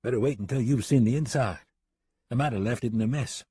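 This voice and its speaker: A man acting a steady, gentle cowboy. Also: mid-range voice, fast talker, slightly rough, no echo. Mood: calm, caring. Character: steady, protective cowboy in a western. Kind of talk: western audiobook character acting.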